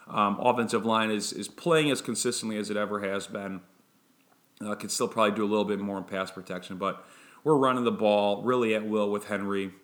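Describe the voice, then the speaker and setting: A man with a fairly low voice hosts a fan podcast and previews an upcoming game in casual talk. He delivers it in a flat monotone, full of ums and repeated words as he restarts his sentences.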